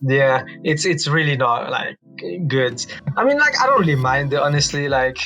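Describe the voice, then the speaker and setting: A man's voice: medium pitch, animated, moderate pace, sharp tone, soft background music. A young man in casual conversation, speaking a little hesitantly and repeating his phrases. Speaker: youth board podcast speaker. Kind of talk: casual chat.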